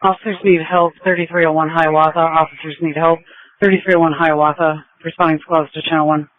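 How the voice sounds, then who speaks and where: A man's voice: fairly high pitch, level tone, fast talker. A man working as a police dispatcher, speaking in clipped, procedural phrases over police radio. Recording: phone-like narrow-band police scanner audio.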